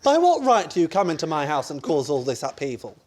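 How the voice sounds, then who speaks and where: A man's voice: fairly high pitch, huge pitch swings, fairly quick, darkish tone. A man playing an exasperated, sarcastic, scheming husband in a comic radio play, blustering and wry in a theatrical way.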